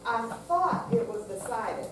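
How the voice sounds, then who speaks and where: A woman's voice: fairly high pitch, big pitch swings, a little slow. Coaxing, exasperated grown daughter, acted by a woman in a stage reading; very echoey, recorded at a distance. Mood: impatient. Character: adult daughter, insistent, coaxing, caring toward ailing mother. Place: senior center room, live staged reading. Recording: very echoey hall, distant mic, noisy.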